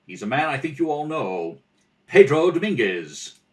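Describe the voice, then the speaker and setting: A man playing a suave, wry gentleman detective in an old radio mystery. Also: a little high, big pitch swings, moderate pace, recorded from a distance. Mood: probing. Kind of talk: old radio mystery acting, rehearsal read-through.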